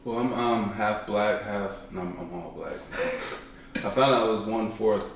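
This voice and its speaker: Podcast guest, young man, deep voice, easygoing and rambling, recorded in a boomy room. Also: huge pitch swings, unhurried, a little hiss or hum. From Chicago, South Side. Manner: laid-back, rambling, joking, trails off often. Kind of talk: casual conversational interview. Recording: echoey room, mic at a distance.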